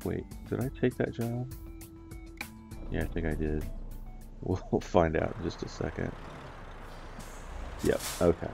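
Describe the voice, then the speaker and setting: A man's voice: sits low, expressive, a little slow, gravelly, pauses often, music in the background. A man from the US, stuffy and nasal from a cold, chatting in a rambling way with lots of ums as he plays a driving game. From United States. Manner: rambling, with many ums and uhs. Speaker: let's play host. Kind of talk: casual gameplay commentary.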